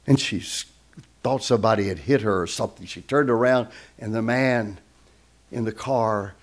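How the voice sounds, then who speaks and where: A man's voice: fairly low pitch, moderate pace. A man who is a guest speaker, telling a story in a sermon and acting out the voices of the people in it.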